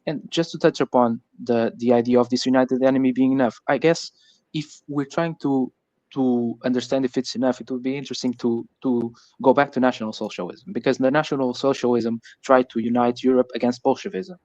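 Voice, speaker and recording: Halting Portuguese-accented man, even pitch. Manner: halting, repeats words while searching for phrasing. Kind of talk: casual political discussion. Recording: muffled, echoey voice-chat audio.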